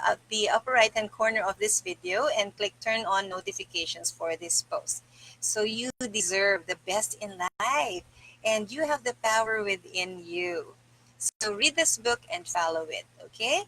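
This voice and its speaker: Bright, higher-pitched woman reading to camera. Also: poor audio. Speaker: book-reading host. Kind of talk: reading a self-help book aloud to camera. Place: small plain-walled room indoors.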